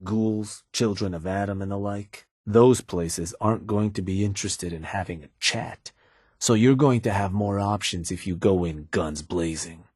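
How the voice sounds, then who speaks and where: An actor playing a laid-back, businesslike raider fixer in a video game, with a husky voice and a casual, wry, streetwise manner. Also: male voice, low-pitched, normal speed, darkish tone, no room sound, clean studio sound. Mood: matter-of-fact, wry. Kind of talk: in-game character dialogue, conversational delivery.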